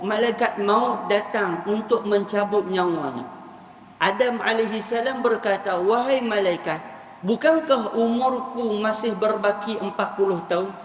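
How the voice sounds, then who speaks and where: Male Islamic preacher, northern Malaysian accent, high voice, colloquial storyteller addressing listeners, recorded off-mic. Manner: colloquial storytelling, acting out dialogue, repeating phrases for emphasis. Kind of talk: sermon retelling religious stories to an audience. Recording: echoey hall, distant mic, faint noise.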